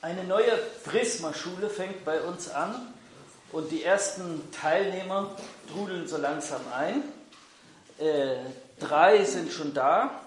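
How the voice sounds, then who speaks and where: A man's voice: high voice, slow, slight background noise. A man leading a church gathering and interpreting a guest's words, friendly and hesitant, with frequent filler sounds. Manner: friendly, hesitant with frequent ums, switching languages. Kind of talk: church announcement and live interpreting. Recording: echoey hall.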